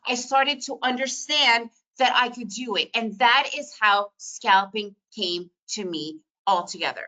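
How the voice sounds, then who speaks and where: A middle-aged woman from the former Soviet Union, a native Russian speaker, giving an energetic, emphatic webinar talk on trading. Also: on the high side, low-quality recording. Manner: energetic, emphatic, repetitive phrasing. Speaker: trading educator. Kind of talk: webinar presentation.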